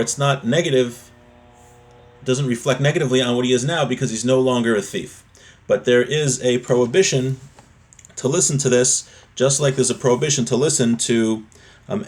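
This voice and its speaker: A man with a medium-pitched voice teaches a religious law class. He reads from a text and explains it informally. He tends to restate each point in plain, everyday terms.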